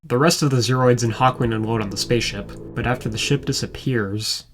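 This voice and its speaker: A man's voice: mid-range voice, fairly quick, very noisy. A man reading scripted commentary as a video reviewer, with a wry, sarcastic manner. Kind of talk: scripted commentary over show clips.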